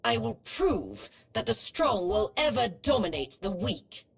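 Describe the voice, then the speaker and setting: A woman's voice: mid-range voice, huge pitch swings, unhurried, vocal fry, full character voice. A woman playing a cold, ruthless female Sith research hologram in a video game, speaking in a formal, detached, clinical manner. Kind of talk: scripted video game acting.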